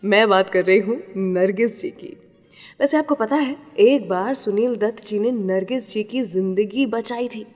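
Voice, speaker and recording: A woman's voice: medium pitch, measured pace, darkish tone. Woman radio host with long reverb. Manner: conversational, addresses the listener directly. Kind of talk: scripted radio storytelling and song introductions.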